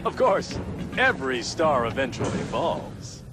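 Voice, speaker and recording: An actor playing a slick, gleefully cruel racing TV host in a video game, with theatrical, smug announcer delivery. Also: male voice, medium pitch, sing-song, unhurried. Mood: showy, gloating. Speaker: race commentator. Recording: music behind the voice.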